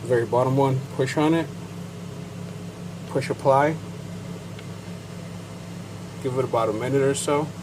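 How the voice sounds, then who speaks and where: A man's voice: medium pitch, unhurried, long pauses, background noise. A man with a Chicano Mexican-American accent, bilingual, casually walking through a technical setup as a certified technician, rambling with fillers and asides. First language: bilingual English and Spanish. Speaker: certified payment terminal technician. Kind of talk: casual how-to demonstration.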